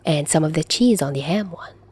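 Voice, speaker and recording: A woman's voice: deep voice, animated, a little fast, mellow, husky. A woman voicing a fond, teasing teenage boy in an audiobook story, skeptical and matter-of-fact.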